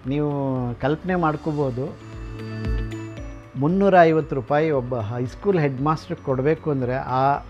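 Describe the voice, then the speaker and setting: A man's voice: on the high side, normal speed, long pauses, a little mellow. Middle aged guy w explaining stuff like a tour guide, some english mixed in, muffled recording, music in the background. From Karnataka, India. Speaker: radio collector and museum founder. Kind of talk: informal guided tour talk. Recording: dull lo-fi sound, music behind.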